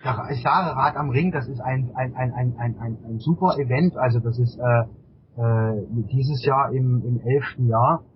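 A middle-aged man with a husky voice answers casually as an interview guest, often repeating words while he searches for phrasing. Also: medium pitch, normal speed, a little hiss or hum. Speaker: interview guest, amateur endurance cyclist. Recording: dull, lo-fi interview audio.

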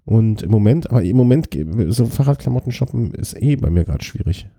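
A man's voice: lowish voice, expressive, fairly quick, vocal fry, mellow, no room sound, studio quality. A man co-hosting a casual cycling podcast, joking and rambling with frequent restarts. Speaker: podcast co-host. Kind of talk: casual chat.

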